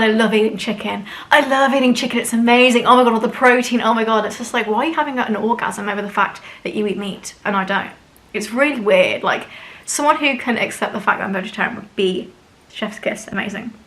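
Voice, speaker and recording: A woman's voice: mid-range voice, a bit bright. A woman hosting a spiritual channel, talking frankly and casually to camera, her sentences full of "like" and "you know". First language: English. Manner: chatty, frank, with many "like" and "you know" fillers.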